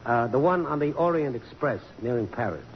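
A man's voice: mid-range voice, expressive, fairly quick, natural voice. An actor playing a brash, teasing male photographer and old flame, glib, sardonic and insistent, in 1950s radio drama style. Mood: needling, flirtatious. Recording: dull, reverberant, noisy old radio broadcast.

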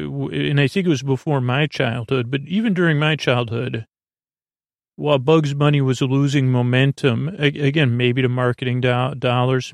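A man with a medium-pitched voice full of creak delivers a sleep podcast monologue. He meanders and stumbles, often restarting and losing his thread. The sound is very dry, with no room echo. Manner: meandering, halting, full of digressions and false starts. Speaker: podcast host.

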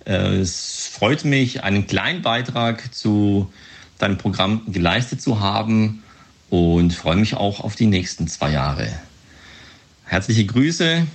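A man giving a recorded congratulatory greeting in a measured, courteous manner. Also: fairly low pitch, husky. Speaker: guest sending a congratulatory message.

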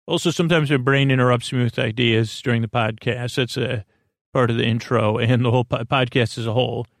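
A man hosting a bedtime podcast in a meandering, halting monologue, often drifting off his train of thought. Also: mid-range voice, steady tone, creaky, no room sound, studio quality. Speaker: podcast host.

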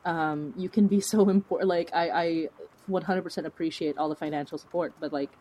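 A woman's voice: lowish voice, steady tone, brisk, warm tone. A woman chatting casually as an interview guest, talking in an effusive, emphatic and gushing way. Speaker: podcast creator, interview guest. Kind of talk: casual conversational interview chat.

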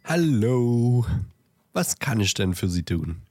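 A man's voice: mid-range voice, very animated, slow, mellow. A man playing an obliging, flustered post office clerk who stammers hesitantly in bemusement in an amateur audio drama.

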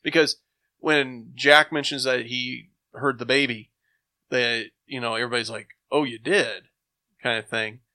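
Bright-voiced man chatting enthusiastically. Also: medium pitch, steady tone, no echo. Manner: enthusiastic, rambling, with thinking pauses. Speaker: podcast host. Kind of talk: casual chat.

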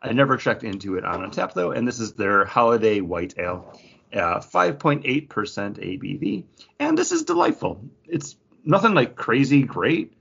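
A man's voice: mid-range voice, big pitch swings, moderate pace, gravelly, a bit bright, slightly rough, dull audio. A man co-hosting a podcast in casual chat, with a dry, teasing manner. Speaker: podcast co-host.

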